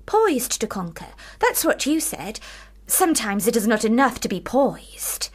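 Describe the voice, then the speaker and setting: Precocious little girl in a radio play, high voice all over the place, prim n earnest. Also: very animated, unhurried, husky, full character voice. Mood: wheedling. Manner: prim, formal, wheedling, childlike. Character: young Charlotte Bronte, precocious earnest girl, older sister. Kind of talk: radio drama acting.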